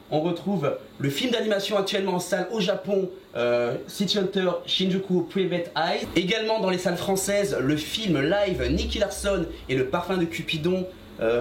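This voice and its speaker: A man hosting an interview, reading his introduction and asking questions, adding brief acknowledgements. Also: high-pitched. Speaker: interviewer, channel host. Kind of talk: interview questions, partly read. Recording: echoey room with faint noise.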